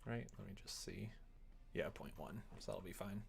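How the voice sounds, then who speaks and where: Creaky-voiced livestreamer, casually thinking aloud. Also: low voice, normal speed, slight background noise. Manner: rambling, muttering to self, self-deprecating. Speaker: livestreamer making a game. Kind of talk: casual livestream chat, thinking aloud while coding and replying to viewers.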